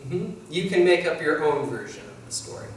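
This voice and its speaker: A man's voice: on the high side, animated, a little fast, a little hiss or hum, close to an everyday voice. A man playing an easygoing young fairytale thief, telling stories to children in a friendly way. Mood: easygoing, upbeat. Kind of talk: scripted children's educational presentation in character. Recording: very echoey room, distant mic, faint music.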